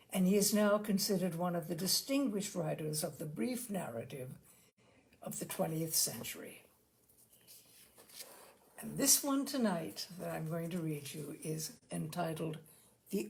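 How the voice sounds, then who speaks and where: A woman storyteller with a noticeably creaky voice at a middling pitch. She reads aloud as a host would, phrasing each line deliberately and expressively. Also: a little mellow. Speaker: host reading short stories aloud. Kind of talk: storytelling, read-aloud narration.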